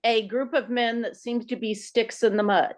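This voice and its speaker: Higher-voiced woman chatting in a meeting. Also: fairly even intonation, moderate pace. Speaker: meeting participant. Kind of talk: casual meeting chat.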